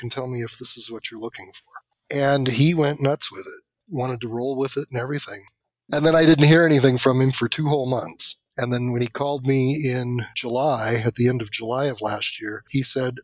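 A man's voice: medium pitch, dry recording. Dry, close-sounding man telling anecdotes. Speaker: podcast guest, audio drama producer and writer. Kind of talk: conversational interview.

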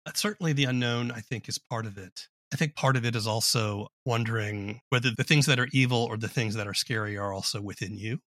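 A man with a bright, crisp-edged voice of average pitch hosts a conversational interview. The sound is dry, with no audible room. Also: fairly quick, few pauses, no room sound. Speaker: podcast host, interviewer.

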